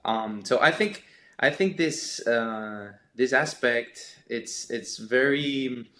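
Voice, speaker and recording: A young man with an Argentine Spanish accent, a Spanish native speaker, answering podcast questions in a halting way with many repeats and fillers. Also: medium pitch, sharp tone. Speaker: podcast guest, sports scientist. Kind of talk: casual interview answers.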